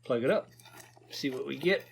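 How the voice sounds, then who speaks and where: A man's voice: mid-range voice, animated, moderate pace, gravelly, very noisy. A man in his fifties casually narrating a repair to viewers, thinking aloud in a folksy way. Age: 50s. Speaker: host of a repair video channel.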